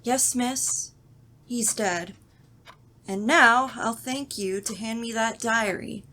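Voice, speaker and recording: An actress playing a brisk, firm nurse humouring a patient in a radio drama. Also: female voice, a little high, pushed and bright, heavily acted.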